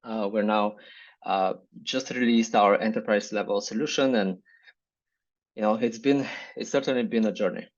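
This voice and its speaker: A man with a Bulgarian accent answers interview questions in a measured, reflective way. His voice sits on the low side. The recording sounds dull and lo-fi. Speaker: startup founder and guest. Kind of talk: business interview.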